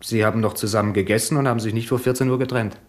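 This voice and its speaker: An actor playing a male police inspector in a 1970s radio drama, speaking briskly and matter-of-factly with a Swiss German accent. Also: fairly low pitch, fairly even intonation, lightly acted.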